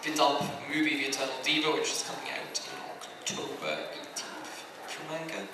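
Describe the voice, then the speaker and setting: A man's voice: on the high side, big pitch swings, moderate pace. A man from Scotland with a British accent, an industry panelist telling anecdotes in a casual, rambling way. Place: large room at a fan convention. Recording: very echoey hall, distant mic, clear noise.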